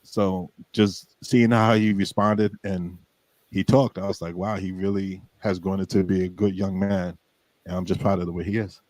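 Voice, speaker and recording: A middle-aged man hosts a casual podcast in a very deep, low voice. He rambles in a relaxed way and restarts his sentences often. The sound is dry and close. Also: moderate pace, dry recording. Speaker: podcast host.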